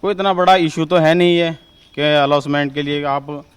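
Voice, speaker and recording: A man's voice: on the high side, steady tone, normal speed, a little hiss or hum. A man with a western Uttar Pradesh accent, a local resident answering informally in a street interview.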